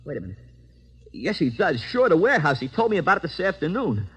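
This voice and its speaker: A jittery young American small-time crook in vintage radio drama. His voice is highish and very husky, swinging widely as he stammers and pleads in street slang. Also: big pitch swings, fast talker, a bit bright, exaggerated voice. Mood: panicked. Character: anxious, hot-headed young small-time male hoodlum. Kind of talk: 1940s-50s radio crime drama acting. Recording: old radio drama recording.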